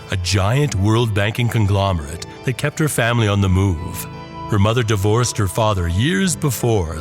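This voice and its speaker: A man narrating a scripted horror story, with dramatic pauses and drawn-out phrases. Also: on the low side.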